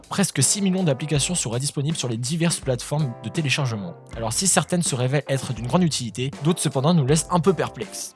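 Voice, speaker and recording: A chatty, joking man reads online articles aloud and keeps cutting in with slangy quips. His voice sits at an ordinary middle pitch. Clear music plays behind him. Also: a little mellow. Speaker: YouTuber reacting to web articles. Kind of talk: casual reaction commentary, reading articles aloud.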